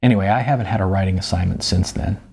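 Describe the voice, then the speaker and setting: A man voicing a weary, self-deprecating writer of almost fifty, halting and sardonic, in an audiobook. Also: low-pitched, vocal fry, darkish tone, slightly rough, pitched below the performer's own voice, heavily acted. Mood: wry, rueful.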